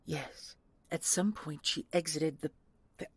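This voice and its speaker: A woman playing a bitter, guarded deposed spy commandant in an audio roleplay, husky and gravelly, with a halting, defensive delivery. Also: sits low, dry recording, heavily acted. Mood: agitated, confused.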